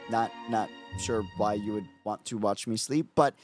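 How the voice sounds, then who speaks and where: A man streaming casually late at night, his voice raspy and hoarse from no sleep, rambling and repeating himself with lots of fillers. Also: lowish voice, moderate pace, a little mellow, soft background music. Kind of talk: casual livestream chat.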